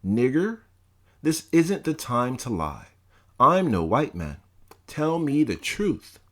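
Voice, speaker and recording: A man's voice: medium pitch, sing-song, slow. A man voicing a domineering older male college president in an audiobook, scolding and contemptuous in tone. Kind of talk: audiobook character voice.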